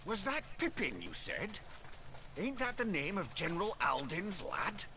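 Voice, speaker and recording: An actor playing a jovial rustic wagon driver in a video game, talking in folksy, colloquial dialect. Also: male voice, high-pitched, huge pitch swings, measured pace, sharp tone, a little hiss or hum, exaggerated voice. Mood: curious, friendly. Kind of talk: video game cutscene acting.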